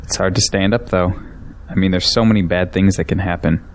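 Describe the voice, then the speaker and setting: A man's voice: low-pitched, fairly even intonation, a little fast. A young man playing a pragmatic, defensive arguer in an audio drama, with an argumentative delivery. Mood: skeptical. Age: young adult.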